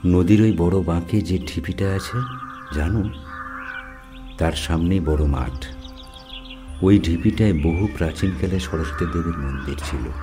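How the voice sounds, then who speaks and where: A man playing an older, secretive, persuasive musician in a Bengali audio story, speaking deliberately with dramatic pauses. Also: low voice.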